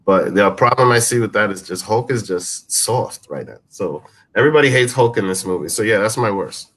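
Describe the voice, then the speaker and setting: A man's voice: on the low side, animated, a little fast, a bit bright, breathy edge. A man giving casual opinions as a review panelist, rambling and repeating his phrases. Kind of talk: casual movie review discussion.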